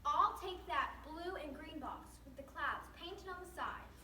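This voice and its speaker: A woman's voice: high-pitched, a little slow, a loud crowd. A young teenage girl playing an eager, earnest little female character who longs to fit in, in readers theater. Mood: wistful, eager. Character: wistful young female wemmick longing to fit in. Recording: echoey room, distant mic, noisy background.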